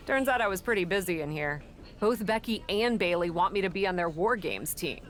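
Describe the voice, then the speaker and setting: A woman playing a cheeky, quick-witted female wrestler and acting manager in a video game cutscene. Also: mid-range voice, lively intonation, a little hiss or hum, lightly acted. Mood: flippant, amused. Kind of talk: scripted game cutscene dialogue.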